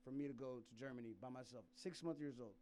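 A man's voice: medium pitch, fast, warm tone, a little hiss or hum. A man from South Sudan telling a rambling personal story in casual chat, laughing often and restarting his sentences.

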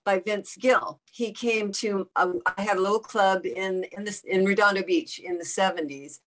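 A woman's voice: medium pitch, normal speed, fairly bright tone. A woman in her sixties from the South Bay of Los Angeles introduces herself on a group video call in a rambling way, often repeating and restarting words. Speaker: bluegrass musician and guest.

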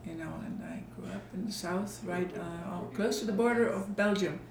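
Older woman with a gravelly voice. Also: medium pitch, echoey room, noisy background. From Netherlands. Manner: unhurried, reflective storytelling. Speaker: guest, artist. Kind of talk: casual conversation. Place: home living room.

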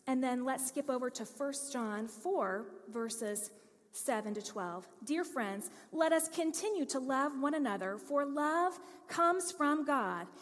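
Woman pastor, high pitched, earnest emphatic sermon delivery, reverberant sanctuary sound. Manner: earnest storytelling preacher, lively emphasis. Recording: long hall reverb.

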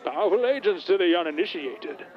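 Muffled, high-pitched theatrical henchman. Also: animated, brisk, faint music behind, some chatter behind. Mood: theatrical menace. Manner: grandiose, formal. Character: theatrical, menacing male henchman parodying a masked villain. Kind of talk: comedy series acting.

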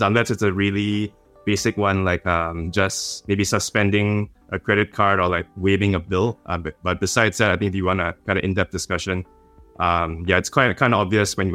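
Low-voiced guy on a panel, lots of ums and restarts, faint music under. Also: a bit bright. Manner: hesitant, many fillers and repeated words. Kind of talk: panel discussion.

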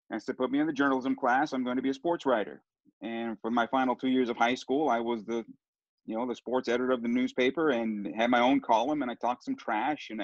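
A man's voice: medium pitch, dry recording. Rambling middle-aged man, dry muffled sound. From Southern California. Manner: rambling, with many restarts and fillers. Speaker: sports broadcaster guest. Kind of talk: casual interview storytelling.

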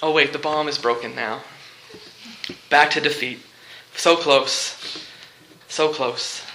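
A man delivers a sermon in a very bright, forceful voice that sits a little high. He speaks in short, deliberate phrases and leans on repetition for effect. The room around him rings with echo. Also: fairly even intonation, a little hiss or hum. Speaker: preacher. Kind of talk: sermon with movie illustrations and humour. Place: live talk in a large room before listeners. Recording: echoey room.

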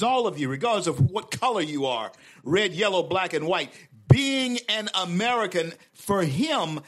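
A man hosting a talk show, interviewing a guest with emphatic, rising swells of delivery. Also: high voice.

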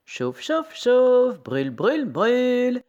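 Lady doing little piggy, high squeaky chanting, cheery kids storybook. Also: sing-song, drawn-out words, highs cut off, heavily acted. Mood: excited chanting. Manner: chanting, exclaiming. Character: excitable little storybook pigs, hard-working and cheery.

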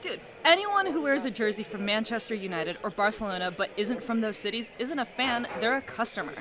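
A woman's voice: on the high side, expressive, fairly quick, a crowd in the background, close to an everyday voice. A woman playing a feisty, stubborn young soccer supporter in an audio drama, blunt and combative. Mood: teasing, stubborn.

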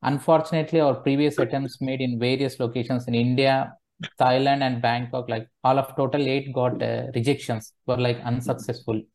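A man calling in for advice, explaining his situation haltingly, with "like" and "right" scattered through his sentences. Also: medium pitch, level tone, a little mellow, rough recording. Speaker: caller asking for advice.

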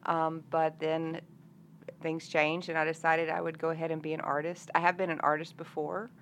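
A woman's voice: deep voice, completely flat delivery, normal speed, a bit bright, slight background noise. A woman, speaking casually as a singer-songwriter being interviewed. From Arkansas, United States. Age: 50s.

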